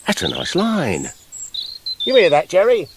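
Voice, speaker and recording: Bossy woodcutter, wildly animated delivery. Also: male voice, high voice, a little slow, background noise, exaggerated voice. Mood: persuasive. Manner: argumentative, indignant, emphatic. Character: exasperated, bossy, hectoring male woodcutter. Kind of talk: radio play dialogue.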